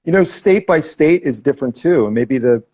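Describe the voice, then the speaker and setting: A man's voice: mid-range voice, animated, normal speed, mellow. A man hosting a casual podcast chat, joking around with lots of restarts and goofy asides. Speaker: podcast host.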